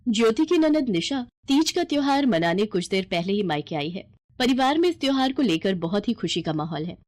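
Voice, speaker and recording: A woman's voice: fairly high pitch, sing-song, a little fast. A woman narrating a scripted bedtime moral story.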